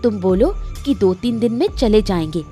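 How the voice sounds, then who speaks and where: A woman plays a scheming cartoon wife, cunning and ruthless. Her voice is mellow and dark-toned, and she speaks with bossy self-assurance, over clear background music. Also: mid-range voice, expressive, moderate pace, lightly acted. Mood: bossy, smug. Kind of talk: Hindi cartoon story voice acting.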